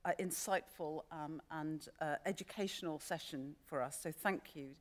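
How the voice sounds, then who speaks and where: A woman giving a formal, polite closing speech of thanks in a halting way. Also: deep voice. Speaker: chief executive of a society. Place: radio theatre stage.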